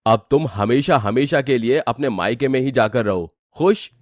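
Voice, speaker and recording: A man's voice: medium pitch, lively intonation, normal speed, dry recording, studio quality, natural voice. A man playing an earnest, firm young husband in a cartoon moral story. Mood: bitter, firm. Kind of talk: animated moral-story dramatisation.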